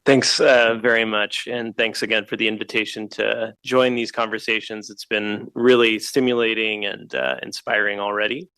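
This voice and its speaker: A man from Kenya speaks on a video call with a rough, gravelly edge and a bright, forward tone. He pauses often and fills the gaps with "uh." The sound is dry and close, with no room around it. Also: fairly low pitch, little pitch movement, no echo, rough recording. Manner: hesitant, frequent "uh" fillers. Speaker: panelist, founder of an energy company. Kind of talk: casual panel talk.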